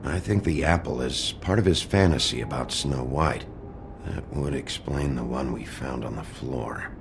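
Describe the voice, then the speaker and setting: An actor playing a gruff, brooding male detective in a noir video game, with a gravelly voice and a terse, deadpan, authoritative delivery. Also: rumbling low, moderate pace, noisy background. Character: gruff, brooding wolf detective in human form.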